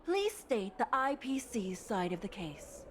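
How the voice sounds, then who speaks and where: Stern, high-voiced official. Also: female voice, very animated, measured pace, background noise. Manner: formal, commanding. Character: stern, authoritative female arbitrator. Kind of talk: video game voice acting. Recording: background music under the voice.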